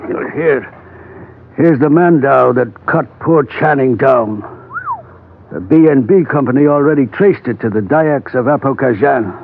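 An actor playing a grave, shifty old male trader, speaking with deliberate, portentous phrasing in a 1940s radio drama. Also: medium pitch, creaky. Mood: grave, ominous. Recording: tinny, faintly noisy old radio broadcast.

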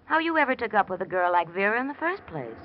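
A woman's voice: medium pitch, big pitch swings, quick pace, natural voice. An actress playing a teasing, worldly young American socialite in a classic radio drama, coy and probing in her theatrical delivery. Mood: probing, catty. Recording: muffled, noisy old radio recording.

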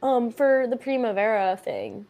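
A young woman chatting as a podcast guest in an expressive, talkative way, full of fillers and quick to laugh. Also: fairly high pitch, gravelly. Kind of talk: casual chat.

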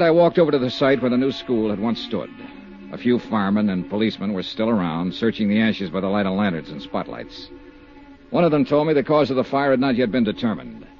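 An actor playing a tough, persistent insurance investigator in a 1950s radio drama, with a clipped, direct, probing delivery. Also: male voice, mid-range voice. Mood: dry narration. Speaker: lead detective and narrator. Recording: muffled 1950s radio recording.

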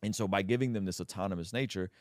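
A man's voice: low voice, rapid-fire, dry recording, studio quality. A man from Azerbaijan hosting a podcast, telling stories in casual conversation with lots of restarts. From Azerbaijan, Armenian family. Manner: animated storyteller, many restarts. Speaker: podcast host.

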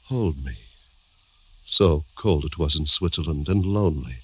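A man's voice: very deep voice, measured pace, fairly bright tone, husky, full character voice. A man playing a meek, lovelorn young Russian suitor in an old-time radio drama, earnest and plaintive with stilted formal phrasing. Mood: yearning.

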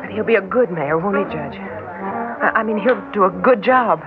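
An American actress playing a blithe, affectionate young sweetheart in a classic radio drama. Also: female voice, medium pitch, moderate pace, music in the background, close to an everyday voice. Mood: anxious. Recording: muffled, noisy old radio recording.

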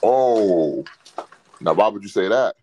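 Deep-ish guy teasing his buddy, voice jumps all over the place. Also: animated, slow pace, darkish tone, a little hiss or hum. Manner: teasing, quizzing and needling the other speaker. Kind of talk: casual chat.

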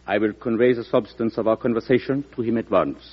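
Husky, formal German ambassador. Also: male voice, on the low side. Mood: guarded, formal. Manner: formal, deferential, measured. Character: stiff, formal German ambassador, evasive and courtly. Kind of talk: old-time radio drama acting. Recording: muffled vintage radio broadcast recording.

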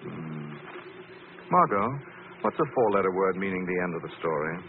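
Suave deep-voiced radio sleuth. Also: male voice, animated, normal speed. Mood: urbane, playful. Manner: urbane, explanatory. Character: suave, unruffled gentleman sleuth. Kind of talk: 1940s radio acting. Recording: tinny old radio recording, clear noise.

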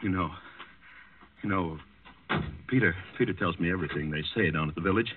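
An actor playing a nervous, haughty count who stammers and repeats himself, in 1940s radio drama style. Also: male voice, sits low, fairly quick, slightly rough. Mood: uneasy rambling. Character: nervous, agitated Danish count, haughty and morbidly fearful. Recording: muffled vintage 1940s radio recording.

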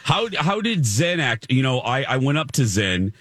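Deep-voiced guy chatting, lively up and down, dry close sound. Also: normal speed, no room sound. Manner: animated storyteller, frequent restarts. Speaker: co-host. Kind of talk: casual chat.

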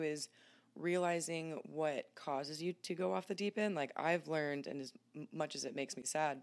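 Chatty, candid woman podcast host. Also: low-pitched. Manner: candid, chatty, laughs easily. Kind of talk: casual podcast chat.

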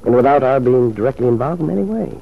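An actor playing a smooth, scheming male lover in a radio drama, suave and coaxing in manner. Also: mid-range voice, lively intonation, quick pace. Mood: insinuating. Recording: muffled old radio drama recording.

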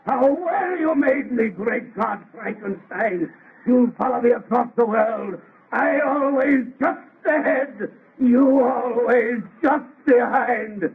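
Raspy, high-pitched vengeful monster. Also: male voice, takes it slowly, heavily acted. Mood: bitter, gloating. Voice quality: raspy, gravelly. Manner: grandiose, declamatory. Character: vengeful, mocking monster made by a scientist, bitter and theatrical. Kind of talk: 1940s-style radio horror acting. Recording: muffled lo-fi old radio recording.

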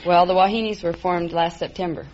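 A woman's voice: lowish voice, steady tone, a little fast. A woman answering interview questions as a contestant in a straightforward, explanatory way. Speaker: quiz contestant, volleyball player. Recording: dull old radio broadcast, faint noise.

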